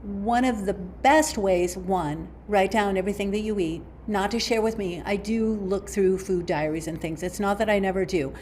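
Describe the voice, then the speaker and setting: A woman with a mid-range voice gives steady, practical health advice as a podcast guest. She speaks in a firm, matter-of-fact way. A faint hiss of noise sits under her voice. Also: medium pitch, normal speed, few pauses. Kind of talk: giving advice in an interview.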